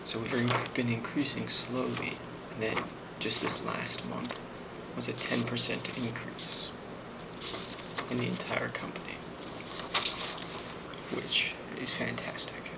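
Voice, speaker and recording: Slow, soft-spoken man with gravelly fry. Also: medium pitch, monotone. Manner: soft-spoken, often slipping toward a whisper; hesitant, halting, many ums and pauses. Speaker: ASMR role-player playing a company boss giving a performance review. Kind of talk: ASMR role play, improvised monologue. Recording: clearly audible background noise.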